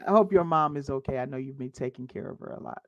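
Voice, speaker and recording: A man's voice: medium pitch, fast, dry recording, muffled recording. An American man co-hosting a casual livestream chat, chatty and earnest, greeting viewers in the chat. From United States. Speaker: co-host of a gaming podcast.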